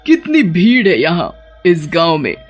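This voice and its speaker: A woman's voice: lowish voice, sing-song, a little slow, slightly rough, heavily acted. Woman voicing a hungry, sly cartoon witch over music. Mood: gleeful. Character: hungry, sly female forest witch, gleefully predatory. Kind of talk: animated Hindi moral story.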